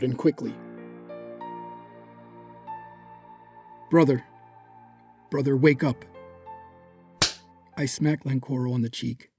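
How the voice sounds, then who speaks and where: An actor plays a proud dark warrior with a hot temper and a protective streak. His mid-range male voice is grave and formal, theatrical in its phrasing, with faint music underneath. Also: medium pitch, natural voice. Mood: urgent. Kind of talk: first-person dramatic audio drama narration.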